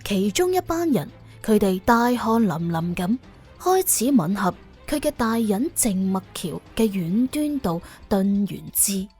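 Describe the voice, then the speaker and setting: A woman's voice: medium pitch, very animated, unhurried, a little mellow, husky, soft background music. A woman with a Cantonese accent reading a scripted suspense audiobook as its narrator.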